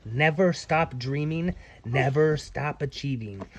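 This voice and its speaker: A man's voice: fairly high pitch, takes it slowly. A man reading aloud to children as their dad, with playful, folksy, chatty asides.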